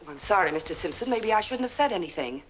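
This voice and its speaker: Quick, lively nurse in an old film, woman acting. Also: on the low side, lightly acted. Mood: soothing, courteous. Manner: polite, efficient. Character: efficient, chatty female nurse, courteous and soothing. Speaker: actress. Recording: faintly noisy 1940s film soundtrack.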